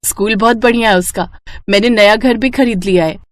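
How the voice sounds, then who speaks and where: A woman's voice: mid-range voice, fairly quick, no room sound, lightly acted. Woman acting a humble, grateful poor mother. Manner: deferential, earnest. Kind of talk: acted dialogue in a children's moral story.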